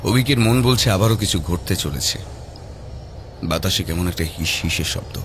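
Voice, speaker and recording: A man narrating a scripted horror story dramatically, with suspenseful, measured phrasing. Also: sits low, lively intonation, a little hiss or hum. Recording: loud music behind.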